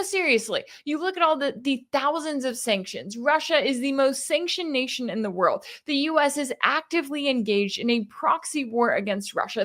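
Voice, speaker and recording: Higher-pitched American woman, emphatic political commentary. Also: a bit bright. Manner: emphatic, rhetorical questions, sarcastic asides. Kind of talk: opinionated news commentary, reading interview quotes and reacting.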